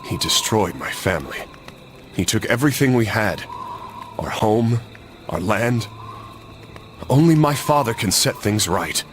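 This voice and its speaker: A man's voice: on the low side, animated, unhurried, long pauses, slight background noise. An actor playing an earnest, stubborn young crusader nobleman in a video game, serious and resolute. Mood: somber. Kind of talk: video game cutscene acting.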